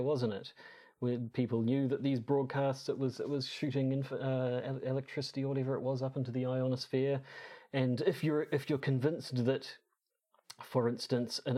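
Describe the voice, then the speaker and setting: A man's voice: medium pitch, warm tone. A man co-hosting a podcast in casual discussion, with a hesitant, rambling delivery that often repeats words. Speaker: podcast co-host.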